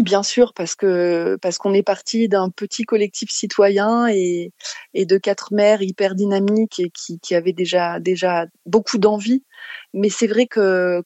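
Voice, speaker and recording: A woman with a French accent from France answers as an interview guest. She talks spontaneously at a middling pitch, often pausing to find a word and repeating the start of a phrase. The sound is dry, with no room echo. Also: fairly even intonation, mellow. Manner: halting, searching for words, repeating phrase starts. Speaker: interview guest, community association organiser.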